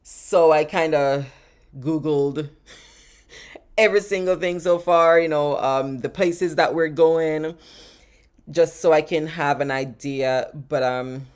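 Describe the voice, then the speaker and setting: A woman's voice: deep voice, fairly even intonation, takes it slowly, a little mellow, clear, resonant tone. A woman with a Jamaican accent, chatting casually and rambling as she answers live-stream questions, often laughing and repeating words. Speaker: travel vlogger answering live chat questions.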